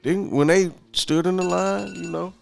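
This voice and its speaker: Gravelly fifty-something man from Houston. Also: high voice, steady tone, measured pace, soft background music. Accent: Houston Fifth Ward. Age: 50s. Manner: loose, profane storytelling. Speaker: podcast co-host. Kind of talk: casual chat.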